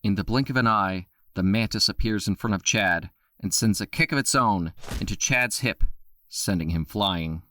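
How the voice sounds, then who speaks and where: Low, bright male drama narrator. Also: normal speed, no echo. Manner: steady storytelling delivery. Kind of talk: scripted narration.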